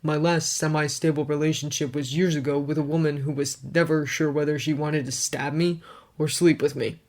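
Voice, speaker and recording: A woman voicing a stern, guarded wealthy man who talks in a clipped, hard, deadpan way. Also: deep voice, normal speed, lightly acted. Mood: weary.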